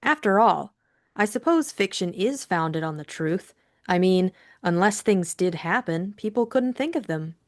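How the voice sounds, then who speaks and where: A woman voicing a spirited, frank young woman in an audiobook, brisk and matter-of-fact. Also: on the low side, animated. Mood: earnest. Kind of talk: audiobook character acting.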